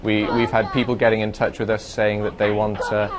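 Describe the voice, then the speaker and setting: A man's voice: lowish voice, little pitch movement, a little fast. A man interviewed in a studio as a guest, chatty, a little hesitant and wry. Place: broadcast studio.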